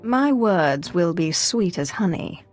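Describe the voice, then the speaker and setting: A woman's voice: lowish voice, lively intonation, takes it slowly, darkish tone, faint music behind. An actress playing a confident young female Sith in a sci-fi video game, dry and teasing. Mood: wry. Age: young adult.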